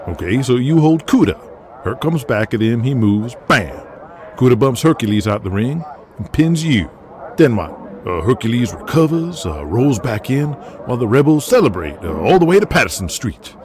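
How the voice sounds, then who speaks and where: A man voicing a persuasive, excitable wrestling booker with a drawl in an audio drama. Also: mid-range voice, normal speed, a little hiss or hum, lightly acted. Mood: scheming, animated. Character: folksy Southern male wrestling booker, excitable, persuasive schemer. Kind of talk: audio drama character acting.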